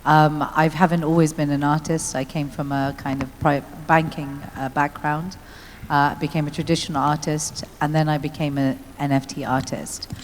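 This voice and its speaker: Very low-voiced woman in echoey hall. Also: little pitch movement, normal speed. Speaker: panelist, artist. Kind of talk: conversational self-introduction at a conference panel. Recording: echoey hall, faint ambient noise.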